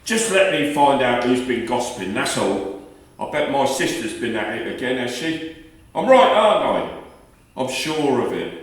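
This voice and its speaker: A man playing a gruff, irritable working-class husband in a radio play, blunt and dismissive. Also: mid-range voice, very animated, normal speed, lightly acted. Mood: angry, suspicious. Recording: very echoey room, slightly distant mic.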